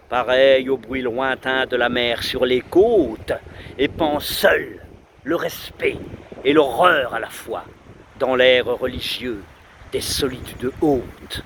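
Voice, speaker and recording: A man recites poetry in a solemn, declamatory manner with dramatic pauses, outdoors by the sea. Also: creaky. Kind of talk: outdoor recitation of a 19th-century poem. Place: outdoors under trees on a windy seashore. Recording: loud wind buffeting the mic, roaring sea. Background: wind and rumbling sea.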